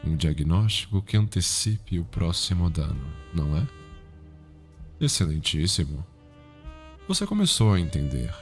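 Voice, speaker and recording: Man playing low-voiced, sinister cultured psychiatrist, Brazilian accent. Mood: calm, probing. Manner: formal, measured, erudite, softly probing. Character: cultured, calm, sinister male psychiatrist mentoring a trainee. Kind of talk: ASMR roleplay. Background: background music.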